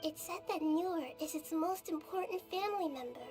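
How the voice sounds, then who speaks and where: A gentle, thoughtful game heroine. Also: female voice, very high-pitched, moderate pace. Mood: consoling. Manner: soft, reflective and consoling. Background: game music.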